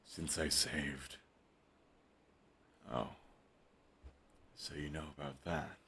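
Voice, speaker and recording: A man's voice: a bass voice, steady tone, drawn-out words, sharp tone, slight background noise, full character voice. A young man playing a bitter, fiercely devoted male villain in an audio roleplay, talking in an intense, raw way and swearing often. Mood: bitter, defensive.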